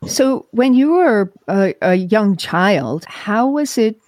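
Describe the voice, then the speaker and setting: A woman hosting an interview, asking explanatory questions and restating answers in a measured, clarifying way. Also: mid-range voice, sing-song, a little mellow, a little hiss or hum. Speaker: podcast host, interviewer.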